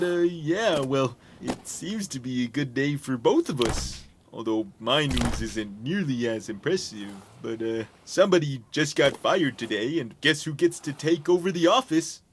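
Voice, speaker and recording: A man's voice: on the high side, a little hiss or hum. A man playing a friendly, shy office worker in a video game, earnest and slightly awkward, as if leaving a voicemail.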